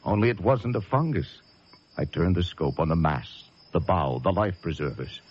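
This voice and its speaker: An actor playing a wry, world-weary American lighthouse keeper, narrating a horror tale with deliberate, dramatic delivery. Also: male voice, low voice, expressive, breathy edge. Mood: grim, suspenseful. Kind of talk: first-person radio drama narration. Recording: muffled radio drama recording with music.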